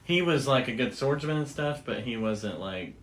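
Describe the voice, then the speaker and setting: A man's voice: mid-range voice, animated, moderate pace, a bit bright, slight background noise. A man co-hosting a show, explaining things in a casual, enthusiastic and informal storytelling way. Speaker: podcast co-host.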